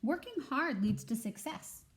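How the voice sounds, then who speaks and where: A woman's voice: fairly high pitch, lively intonation, unhurried, pitched above the performer's own voice. A woman voicing an encouraging, upbeat male goat coach in a children's picture book, with an instructive manner. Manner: bright-spirited, instructive, encouraging.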